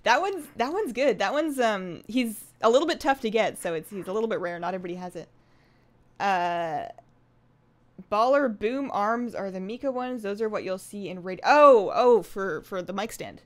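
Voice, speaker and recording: A woman in her twenties chatting casually on a live game stream, rambling along in a bubbly, animated and giggly way. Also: mid-range voice, normal speed. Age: 20s. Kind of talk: casual live stream chat while gaming.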